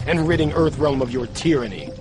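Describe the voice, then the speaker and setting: A man's voice: a little high, fairly quick, over music, natural voice. A male actor playing an earnest, polite martial arts hero in a fighting game, formal and respectful. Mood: indignant. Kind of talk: fighting game cutscene acting.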